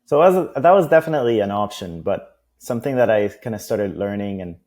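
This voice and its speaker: A man's voice: fairly low pitch, expressive, fairly quick, a little mellow. A man from Costa Rica, a guest on a business interview, talking at length in a loose, rambling way. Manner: talkative, many fillers and restarts.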